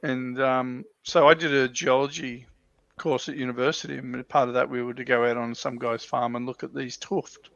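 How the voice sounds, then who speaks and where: An Australian man telling stories in casual chat, with a halting delivery that often doubles back on words. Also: medium pitch, flat delivery, vocal fry, a bit bright, no room sound. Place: livestream video call. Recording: dull, lo-fi voice call audio.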